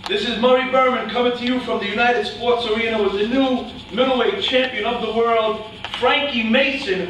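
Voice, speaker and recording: A man playing a bombastic boxing ring announcer in a staged play reading, with booming, drawn-out delivery. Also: a bit bright. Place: staged reading in a reverberant room, far camera. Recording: distant roomy stage recording, faint noise.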